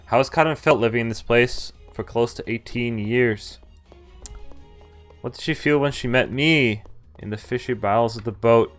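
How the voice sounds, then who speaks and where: A man's voice: medium pitch, moderate pace, faint music behind, natural voice. Visual novel protagonist read by a man: regretful young man in love, earnest, emphatic, clean tone. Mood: urgent, searching. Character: anguished, lovelorn young man full of regret. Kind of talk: dramatic read-aloud of visual novel narration.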